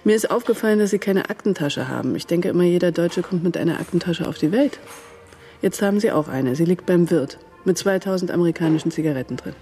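Mellow, cool, worldly woman in radio drama. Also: on the low side, lively intonation, fast, faint music behind. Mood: poised, assured. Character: worldly, shrewd German woman, postwar underground agent. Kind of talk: radio crime drama acting.

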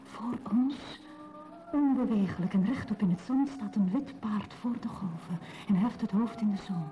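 A woman's voice: mid-range voice, a little slow, dark tone, close to an everyday voice. An actress playing a grave, dreamy woman in a poetic radio play, speaking solemnly and lyrically in a dull lo-fi recording. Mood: solemn, imploring.

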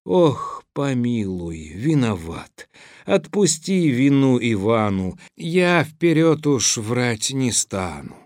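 A man voicing a simple, stubborn young peasant lad in a verse fairy tale, folksy and quick to protest or plead. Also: a little high, big pitch swings, no room sound, studio quality, pitched above the performer's own voice.

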